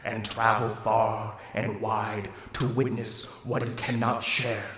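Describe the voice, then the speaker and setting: Slow, echoing, tormented ghost. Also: male voice, lowish voice, flat delivery, a crowd in the background. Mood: mournful. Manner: slow, solemn, declamatory, drawn-out delivery. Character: mournful, tormented male ghost of a dead businessman. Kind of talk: theatrical radio play acting. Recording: echoey hall reverb with music behind.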